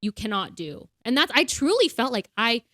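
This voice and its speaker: A woman's voice: fairly high pitch, very animated, normal speed, sharp tone, no room sound, clean studio sound. A young woman co-hosting a casual podcast, rambling with lots of fillers and frequent laughs. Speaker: podcast co-host.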